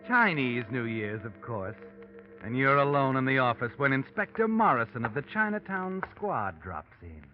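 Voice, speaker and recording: A man's voice: medium pitch, big pitch swings, moderate pace, lightly acted. A man playing a taunting, all-knowing American narrator in 1940s radio noir style. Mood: knowing, ominous. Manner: taunting, addresses the character as you. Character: sinister all-knowing male narrator watching from the shadows. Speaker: narrator of a mystery drama. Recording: muffled old radio, loud music behind.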